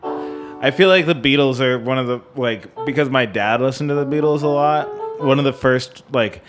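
A man co-hosting a podcast, talking casually about music in a halting way as he searches for words. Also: mid-range voice. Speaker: podcast co-host. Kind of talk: casual music discussion with some theory.

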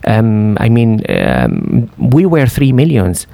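A middle-aged man with a Syrian Arabic accent, telling his story as an interview guest, halting with many fillers and restarts. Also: fairly low pitch, wildly swooping pitch, gravelly, dark tone. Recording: dry studio.

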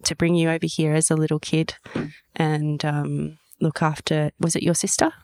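A woman's voice: deep voice, flat delivery, moderate pace, darkish tone, no room sound. A woman hosting and interviewing guests in a conversational way. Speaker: podcast host and interviewer.

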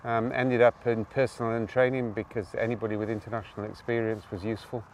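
A man from Wales telling his story outdoors in an interview, animated, with quick asides and tag questions. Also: on the low side, recorded from a distance, a little hiss or hum, rough recording. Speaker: guest. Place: outdoors on open hills.

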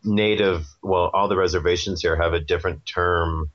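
A man in a casual podcast chat, joking and quickly apologizing, putting in short remarks. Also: sits low, steady tone, a bit bright. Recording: dull lo-fi sound.

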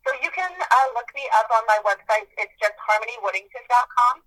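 A woman's voice: on the high side, lively intonation, a little fast, pushed and bright, low-quality recording. A woman guest in a podcast interview, speaking earnestly and emphatically and repeating her key phrases. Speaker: guest, author and healer.

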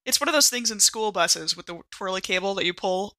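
A woman with a bright, forward voice plays a tabletop role-playing game. She talks casually, thinking aloud as she works out her moves. The sound is close and dry. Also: mid-range voice, animated, moderate pace, dry recording. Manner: informal, chatty, thinking aloud.

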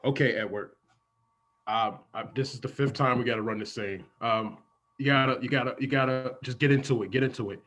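A man playing an exasperated film director in improvised comedy, halting and repeating himself. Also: medium pitch, a bit bright, lightly acted. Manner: halting, repetitive. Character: exasperated film director pleading with his actor.

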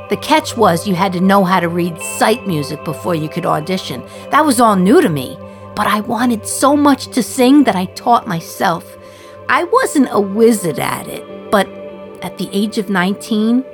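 A woman playing an imprisoned communist young mother in a radio play monologue, wry, confiding and reflective, with dramatic pauses. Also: mid-range voice, natural voice. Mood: fond, reminiscing. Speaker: actor in a solo radio play.

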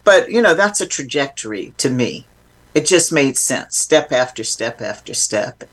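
A woman's voice: a bass voice, big pitch swings, measured pace, slightly rough. A woman speaking as an interview guest, explaining informally and with emphasis in a reflective, conversational way.

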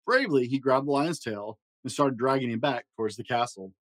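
A man reading a children's fairy tale aloud in a gentle storytelling manner. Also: fairly quick, no room sound. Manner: gentle storytelling with varied pitch for emphasis. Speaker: storybook reader.

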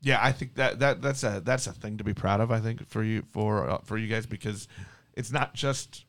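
Slightly deep-voiced male podcast host. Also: moderate pace, a bit bright. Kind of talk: casual interview chat.

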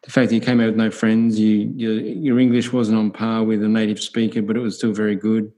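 A man interviewing a guest, asking steady, prompting questions. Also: fairly low pitch, a little fast, poor audio.

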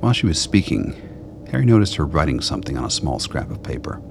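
A man's voice: very deep voice, big pitch swings, fairly quick, creaky, background noise. A man giving scripted narration for a radio drama.

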